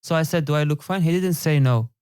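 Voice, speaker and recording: Halting man, English speaker. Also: a little high, a little mellow, clean tone, no room sound, studio quality. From Turkey. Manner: halting, many fillers and restarts. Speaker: podcast guest. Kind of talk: casual discussion.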